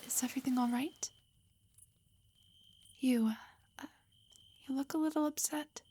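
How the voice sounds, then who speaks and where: A woman playing a loving, protective elf wife in an intimate roleplay audio, speaking tenderly and reassuringly in a breathy whisper. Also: high voice, steady tone, unhurried, dark tone. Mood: tender concern.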